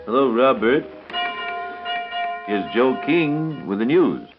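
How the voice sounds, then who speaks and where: A man's voice: medium pitch, expressive, takes it slowly. Whimsical 1930s American radio man, music behind. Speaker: morning radio announcer. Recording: dull 1939 radio aircheck, music behind.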